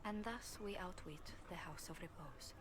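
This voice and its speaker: A woman's voice: lowish voice, normal speed, fairly bright tone, close to an everyday voice. A woman playing a polite, regretful noblewoman diplomat and former bard in a video game, speaking in formal, eloquent phrases. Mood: wry, polite. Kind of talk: video game dialogue acting.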